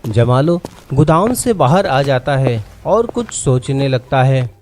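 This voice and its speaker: A man's voice: mid-range voice, very animated, darkish tone, faint music behind. A man narrating a scripted children's story as its storyteller.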